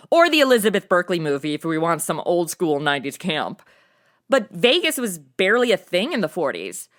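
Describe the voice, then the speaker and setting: A woman acts out a perky young female host of a comedy interview segment. Her pitch swings in a lively way, and she talks in casual valley-girl slang with youthful bounce. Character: bubbly slangy modern young woman hosting history segment. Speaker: podcast host.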